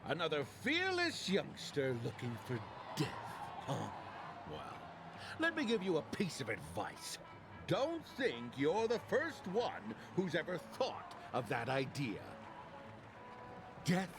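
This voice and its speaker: A cynical old grump in a video game. His gravelly voice sneers and lurches through huge swings in pitch. Game music runs steadily under him. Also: wildly swooping pitch, slow pace, heavily acted. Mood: mocking. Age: older. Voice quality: gravelly, scornful.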